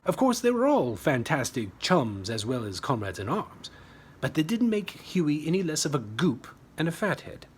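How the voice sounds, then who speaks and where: A man's voice: a little high, very animated, moderate pace, husky. A man reading his own short story as a scripted narration, with a wry, tongue-in-cheek manner.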